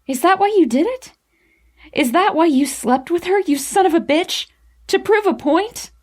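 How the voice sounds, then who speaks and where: A wounded young woman played by a female narrator fights with her lover in an audiobook scene. She is accusing, emotional and quick to flare, her voice pushed up high. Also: lively intonation, darkish tone. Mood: enraged.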